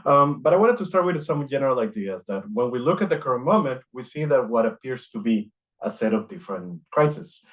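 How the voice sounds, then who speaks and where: Male lecturer, middle-aged, from Costa Rica; mid-pitched, measured delivery read from notes, frequent "uh". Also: animated, a bit bright. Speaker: guest lecturer. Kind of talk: academic lecture.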